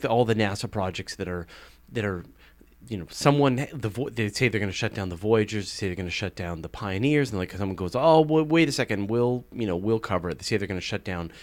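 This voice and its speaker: A man's voice: lowish voice, lively intonation, fairly quick, fairly bright tone. A man hosting an astronomy podcast, talking loosely and casually with lots of "you know" and "like". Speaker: podcast host. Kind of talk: casual conversational chat.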